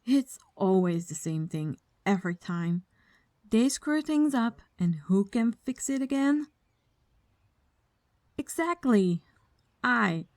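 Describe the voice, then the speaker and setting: A woman playing an exasperated, bossy mafia boss in an ASMR audio roleplay, soft-spoken and unhurried. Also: medium pitch, dry recording, studio quality.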